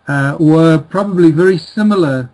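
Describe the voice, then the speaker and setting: A man's voice: on the high side, moderate pace, darkish tone. A middle-aged man with a South African accent, talking as an interview guest over a remote call in a hesitant, conversational way. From born in Czechoslovakia, grew up in South Africa. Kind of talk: conversational life story telling.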